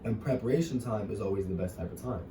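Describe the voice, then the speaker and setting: A young man talks in a loose, rambling interview, full of fillers. His voice sits low and comes from well back from the mic. The room echoes and a steady noise runs underneath. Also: fast talker, distant mic. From New York. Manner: relaxed, talkative, many fillers. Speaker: interviewer, fellow wrestler. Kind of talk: casual interview.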